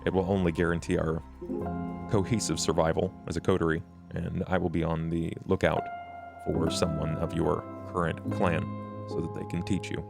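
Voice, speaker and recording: A man playing a grave, cautious, protective vampire mentor in a tabletop game, speaking in a formal, deliberate way. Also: sits low, flat delivery, vocal fry, music in the background. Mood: earnest, solemn. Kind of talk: in-character tabletop roleplay.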